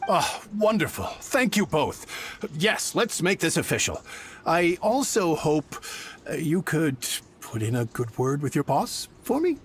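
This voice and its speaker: An actor playing a nervy, eager-to-please merchant in an anime fantasy game, theatrical and a little hesitant. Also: male voice, on the high side, very animated, a little slow, a little hiss or hum. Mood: grateful, ingratiating.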